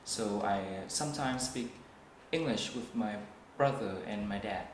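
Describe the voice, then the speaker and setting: A young man with a Vietnamese accent, a student taking a practice speaking test, answering slowly with many hesitations and restarts. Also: fairly low pitch. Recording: echoey room, faint noise.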